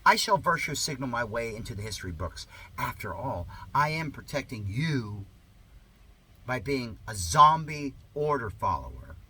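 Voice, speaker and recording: A man's voice: medium pitch, sing-song, normal speed, bright, forceful tone, slightly rough, rough recording. A man reading a satirical monologue aloud in a sarcastic, mock-earnest, theatrical way with exaggerated emphasis. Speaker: reader of a satirical article.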